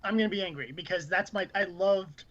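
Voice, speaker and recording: High-pitched male podcast co-host, opinionated rambling gamer chat, muffled lo-fi audio. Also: fairly quick, fairly bright tone. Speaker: podcast co-host, gaming news reporter. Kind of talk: casual panel chat about video games.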